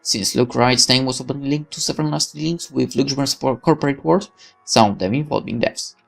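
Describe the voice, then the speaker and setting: A man's voice: mid-range voice, a little fast, creaky, faint music behind. A man reading a visual novel's text aloud as dramatic, sardonic first-person narration. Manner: expressive, sardonic. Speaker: let's player reading game text.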